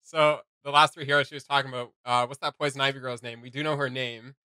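A young man gives casual commentary as a reaction video host. His voice is bright and clearly present. The sound is close and dry, as in a small room. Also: mid-range voice, fairly even intonation, quick pace, no echo. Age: young adult. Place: small room at home, indoors.

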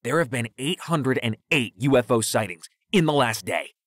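A man voicing a hyper, excitable teenage stoner conspiracy theorist in an audiobook, with an emphatic cadence. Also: medium pitch, normal speed, dry recording, studio quality, pitched above the performer's own voice. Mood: insistent, excited. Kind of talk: audiobook character acting.

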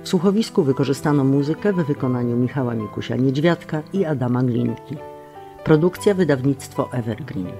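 A man's voice: medium pitch, expressive, brisk, creaky, mellow. A man working as an announcer, reading scripted radio drama credits. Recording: music under the voice.

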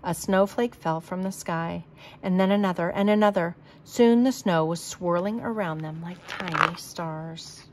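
A woman reading a children's storybook aloud in a deliberate, expressive manner. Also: fairly low pitch, a little hiss or hum, poor audio. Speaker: storybook reader.

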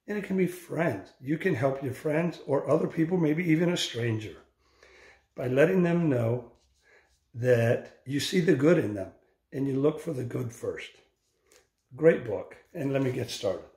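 An older man reads a children's picture book aloud in deliberate phrases, recorded indoors on a phone. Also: medium pitch, lively intonation, mellow. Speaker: storybook reader. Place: indoor room with bare walls. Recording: roomy, echoing home recording.

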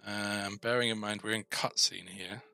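A man's voice: fairly low pitch, fairly even intonation, normal speed, gravelly, sharp tone, faint music behind. A middle-aged man giving a casual coding tutorial, musing and asking himself questions as he works. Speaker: game developer presenting a coding series. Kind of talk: thinking aloud while coding, casual tutorial.

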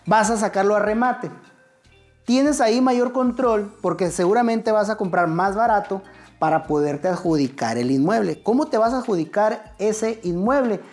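A man's voice: high voice, darkish tone. A middle-aged man with a Mexican accent teaching real estate at a whiteboard, talking straight to the viewer in a conversational, step-by-step way, standing and gesturing in a studio. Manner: conversational, addresses viewer directly, explains step by step. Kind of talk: whiteboard explainer lesson. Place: indoor studio set.

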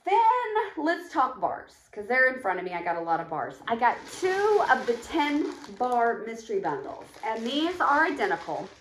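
A woman's voice: on the high side, very animated, normal speed, few pauses. Chatty woman in an echoey room. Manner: chatty, rambling, counts items aloud. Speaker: vlogger showing a shopping haul. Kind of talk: casual chat to camera. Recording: echoey home room, faint noise.

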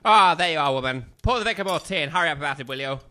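An actor playing a pompous, bad-tempered retired army major with an English accent, blustering and barking orders in a comic audio drama. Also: male voice, a little high, expressive, very fast, bright, forceful tone. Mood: irritated, barking.

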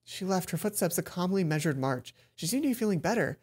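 A man's voice: sits high, lively intonation, fast, a little mellow, breathy edge. A young man reading a visual novel aloud expressively as a let's play host, with asides of commentary. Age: young adult. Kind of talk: expressive read-aloud narration and game commentary. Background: game music.

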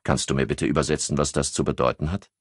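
A man's voice: rumbling low, fairly even intonation, fast talker, no echo, clean studio sound. A man voicing a terse, determined male police commissioner who speaks directly in an audiobook. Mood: inquiring.

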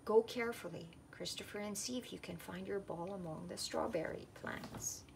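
Gentle male month spirit for storybook, played by a woman: polite, encouraging, creaky voice. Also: medium pitch, moderate pace, background noise, pitched below the performer's own voice, close to an everyday voice. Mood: gentle, helpful.

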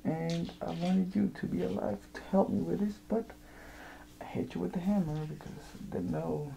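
A man playing a creepy, unhinged evil jester in an ASMR horror roleplay, muttering and rambling haltingly, often dropping to a whisper. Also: high voice, steady tone, vocal fry, noisy background. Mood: strained, anxious.

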